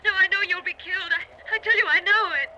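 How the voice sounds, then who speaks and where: An actress playing a fearful, pleading wife with urgent, emphatic delivery in a 1940s radio melodrama. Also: female voice, very high-pitched, fairly even intonation, quick pace, sharp tone, full character voice. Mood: desperate insistence. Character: panicked wife pleading desperately, insistent and fearful. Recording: muffled noisy old radio recording.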